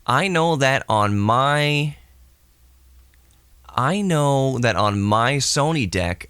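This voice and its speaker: Low-pitched man, dry casual podcast monologue. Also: a bit bright, no room sound. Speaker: podcast host. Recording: recorded to cassette with tape hiss.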